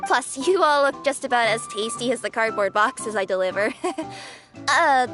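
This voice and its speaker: A woman's voice: a little high, moderate pace, over music, natural voice. An actress voicing a friendly, bubbly young female cat spirit in a video game, chatty, earnest and eager to reassure. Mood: eager, reassuring. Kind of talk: scripted game dialogue.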